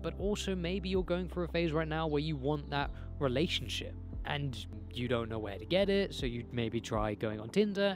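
A young man from England, talking candidly and rambling to a handheld camera outdoors in a field. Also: mid-range voice. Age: young adult. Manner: candid, rambling, swears and laughs often. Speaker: self-improvement vlogger. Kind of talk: casual talk to camera. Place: outdoors in a green field by a hedge. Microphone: handheld camera mic, close.

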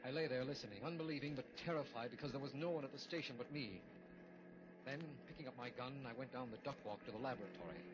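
A man's voice: mid-range voice, noisy background, natural voice. A man playing a haunted radar scientist narrating. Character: shaken radar scientist narrating his ordeal. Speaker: narrator and lead character. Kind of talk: 1950s radio drama acting. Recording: dull old radio recording with music.

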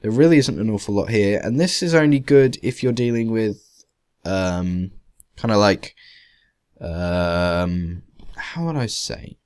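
A man's voice: lowish voice, slow pace, darkish tone, clean tone. Rambling young-sounding male tutorial presenter. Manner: rambling, hesitant, self-deprecating. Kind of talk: casual software tutorial narration. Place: indoors, screen-recording voice-over.

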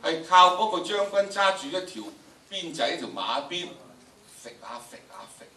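An older man with a Hong Kong Cantonese accent lectures to students in an emphatic, theatrical way. His voice is bright and forceful. It comes from some distance in an echoey lecture room, with some noise. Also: high voice, very animated, moderate pace. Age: older, about 70s. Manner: theatrical, emphatic, repeats phrases for effect. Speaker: drama teacher, lecturer. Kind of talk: animated classroom lecture to students. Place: large indoor lecture room with audience.

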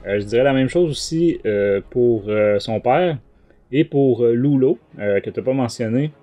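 A man with a Quebec French accent hosting a book podcast, giving his critique in a casual, halting way. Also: medium pitch, dark tone, faint music behind. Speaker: podcast host and book reviewer.

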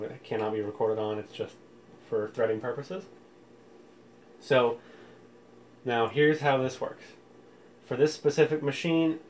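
A man's voice: mid-range voice, darkish tone. Man giving a casual tutorial, noisy room. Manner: informal, explains step by step. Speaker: tutorial host. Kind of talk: casual how-to demonstration. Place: indoors, room with audio equipment. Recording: clear background room noise.

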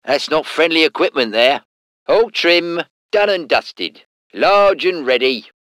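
A man's voice: fairly high pitch, lively intonation, takes it slowly, a bit bright, dry recording, studio quality. An actor with a British accent voicing a cheeky, salty tank crewman in a war game, in slangy, clipped phrases. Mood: reporting. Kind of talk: short scripted game unit responses.

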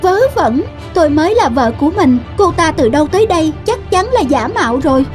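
A young village wife in a cartoon fairy tale. Her high voice is indignant, emotional and insistent. Music plays clearly behind her. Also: animated, brisk. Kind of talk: Vietnamese cartoon dialogue.

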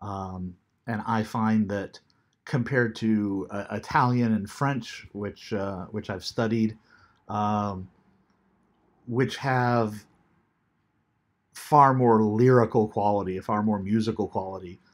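Man, 50s, home webcam lecturer; low, unhurried, reflective delivery. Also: steady tone. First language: English. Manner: unhurried, thoughtful, many ums, reads poetry aloud slowly. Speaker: teacher. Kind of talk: recorded classroom lecture. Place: small room at home, seated in armchair.

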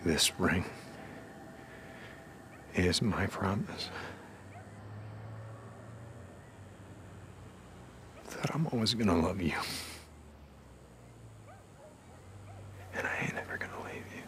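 An actor playing a gruff, guarded biker drifter in a video game, terse and halting, with a gravelly voice. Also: male voice, deep voice, expressive, fairly bright tone, slight background noise. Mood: tender, halting. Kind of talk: video game cutscene acting.

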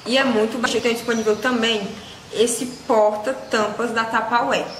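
A woman's voice: fairly high pitch, steady tone, normal speed. A woman, casually presenting products to camera as a vlogger and seller. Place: small indoor room with shelves. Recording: echoey room with loud background noise.

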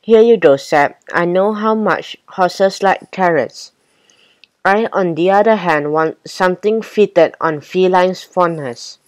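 A woman's voice: fairly low pitch, takes it slowly, no room sound. A woman reading the part of a spoiled, dramatic pony in a children's storybook, with a pompous, disdainful manner. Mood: haughty. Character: spoiled, haughty, dramatic female pony who believes she's a cat.

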